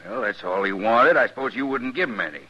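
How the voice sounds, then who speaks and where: An actor playing a hard, defensive rancher in an old-time American radio western, with folksy rough speech and a raspy voice. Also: male voice, mid-range voice, lively intonation, quick pace, bright, forceful tone. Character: hard, defensive male rancher turned desperate father. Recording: dull vintage radio recording.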